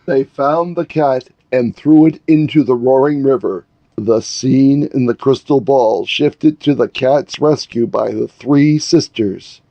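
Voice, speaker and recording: A man narrating a scripted fairy tale as a storyteller, in a measured way. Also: medium pitch, no echo, highs cut off. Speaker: author and narrator.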